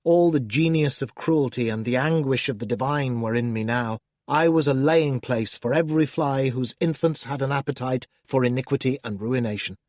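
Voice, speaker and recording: A man narrating an audiobook as a scornful, menacing male demon who taunts the reader, theatrical and swinging between hushed and loud. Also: mid-range voice, normal speed, hardly any pauses, dry recording, studio quality, close to an everyday voice. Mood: brooding. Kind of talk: first-person audiobook narration.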